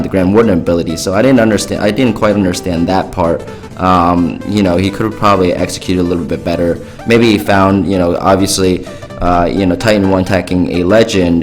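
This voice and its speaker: A young man's lowish voice, hoarse and raspy as if he is losing it, talking over gameplay in a loose, chatty way full of fillers. Faint music plays under him. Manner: chatty, filler-heavy, upbeat. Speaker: gaming YouTuber. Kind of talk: casual game commentary.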